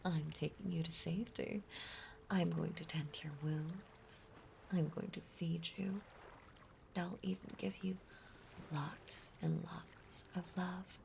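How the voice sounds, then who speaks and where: A woman playing a possessive, lovesick mermaid monster girl in an intimate audio roleplay, breathy and often whispering, with a doting, clingy delivery. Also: lowish voice, a little mellow, slight background noise. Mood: smug, possessive. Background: ocean ambience.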